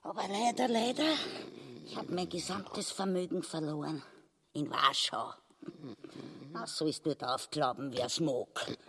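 A sharp-tongued, self-pitying schemer of a wife in broad Austrian dialect for a radio play. The voice sits rather high and is husky, with breathy asides and theatrical laments. Long reverb surrounds every word. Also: male voice, unhurried, pauses often, heavily acted. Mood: self-pitying, weary. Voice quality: raspy, creaky. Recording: long reverberant hall echo.

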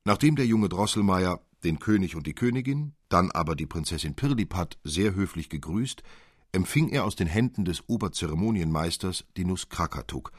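A man narrating a literary fairy tale as a measured, expressive storyteller. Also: low voice, no room sound, studio quality.

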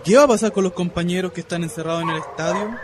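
A Chilean actor playing a working-class husband who gives urgent instructions in a radio drama. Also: male voice, high-pitched, very fast, lightly acted. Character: working-class Chilean husband preparing a secret land takeover. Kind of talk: radio play acting. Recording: noisy recording with outdoor ambience.

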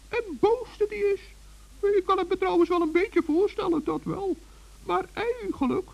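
A man playing a small, kind-hearted forest gnome in a children's radio play, hesitant and innocent in a creaky, squeaky voice. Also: full character voice. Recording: dull vintage radio recording.

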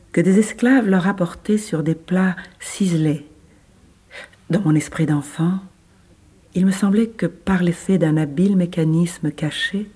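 Mellow, measured literary reader. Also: mid-range voice, breathy edge. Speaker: reader of the French original. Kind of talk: slow literary reading.

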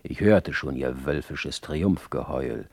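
A man's voice: rumbling low, takes it slowly, creaky, darkish tone, no room sound, heavily acted. An actor playing a brooding, ironic poet in a radio play, speaking an eloquent, theatrical monologue as a letter to his brother. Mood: bitter, wretched.

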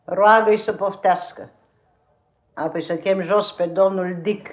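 A stern elderly great-aunt, acted by a woman in a 1950s radio play. She is brusque and commanding, with dry sarcasm and a middle pitch. The archive recording sounds dull and dated. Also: steady tone, close to an everyday voice. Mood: brisk, formal. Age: older. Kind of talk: 1950s radio theatre acting.

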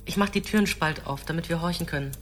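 A man's voice: fairly high pitch, quick pace, fairly bright tone, faint music behind, natural voice. A young male actor playing an earnest, anxious boy, a responsible older brother, in a radio play. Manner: earnest, urgent. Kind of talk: German radio play acting.

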